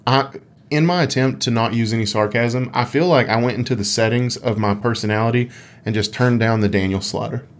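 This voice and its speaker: A man's voice: medium pitch, moderate pace. A man co-hosting a casual gaming podcast with a dry, tongue-in-cheek way of talking. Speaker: podcast co-host.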